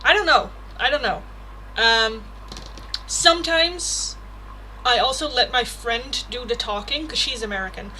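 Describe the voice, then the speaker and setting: Young woman, dutch accent, bright clear voice, fairly high, chatty tarot livestream, faint background hiss. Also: measured pace, low-quality recording. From Netherlands. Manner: chatty, laughs often, swears casually, reads card text aloud. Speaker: tarot reader hosting a livestream. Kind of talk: casual livestream chat and card reading.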